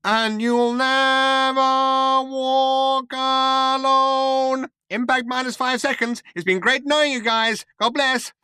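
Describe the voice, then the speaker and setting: A relentlessly chipper male spaceship computer, pitched well up and whining through the nose. It is over-friendly and sentimental, in a comic science fiction audiobook, recorded very dry. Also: bright, forceful tone, no echo. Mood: chirpy. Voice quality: nasal, whining. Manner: chirpy, over-friendly, breaks into slow sentimental song. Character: relentlessly cheerful chirpy male shipboard computer, whiny crooner.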